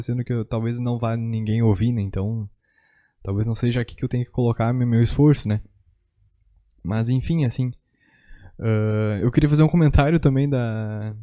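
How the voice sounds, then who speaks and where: A man's voice: medium pitch, warm tone. A man with a southern Brazilian gaúcho accent, chatting casually and loosely as a podcast host, with frequent tag words. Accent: Brazilian Portuguese, southern gaúcho. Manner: informal, loose and rambling with frequent tags. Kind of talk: casual chat.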